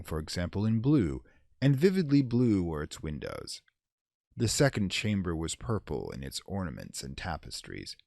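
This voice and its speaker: A man narrating a classic gothic horror tale in a theatrical, measured, formal storytelling style. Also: low-pitched, a little mellow, no echo, studio quality. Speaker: audiobook narrator.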